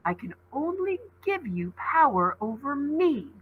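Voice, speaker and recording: A woman's voice: high voice, very animated, measured pace, narrow, tinny audio, a little hiss or hum, pitched above the performer's own voice. A woman voicing a clever, defiant young girl heroine in a storybook, bold and emphatic. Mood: defiant, sure.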